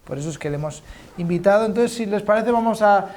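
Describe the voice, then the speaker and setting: A man's voice: high voice, animated, quick pace, a little mellow. A male interview host who introduces his guest and asks questions in a formal way, with frequent fillers and repetitions. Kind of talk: interview hosting.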